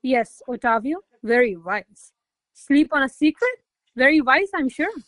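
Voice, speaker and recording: A woman's voice: sits high, animated, a little slow, fairly bright tone, dry recording. A woman playing an imperious, hot-tempered noblewoman scolding her servants in a theatrical radio play. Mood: indignant, sarcastic. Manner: haughty, commanding, theatrical exclamations. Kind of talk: radio play acting of a classic comedy.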